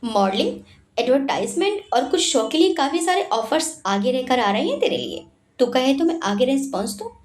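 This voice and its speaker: A woman voicing a warm, brotherly superstar. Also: high-pitched, sing-song, normal speed, echoey room. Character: male film superstar, warm and protective older-brother type.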